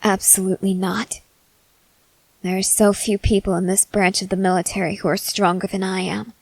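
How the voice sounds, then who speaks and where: A woman playing a stoic, confident young woman soldier in an ASMR roleplay, speaking in a measured, serious, guarded way with hesitant pauses. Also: medium pitch, level tone, gravelly, darkish tone, no echo. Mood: firm. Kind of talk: ASMR roleplay, acted scene to a listener.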